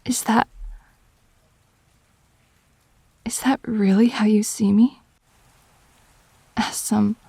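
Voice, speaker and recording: A woman acting in an emotional audio drama as a grieving, defensive girlfriend, speaking in halting, wounded phrases with pauses. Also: medium pitch, a little mellow, husky, no echo. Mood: hurt, disbelieving.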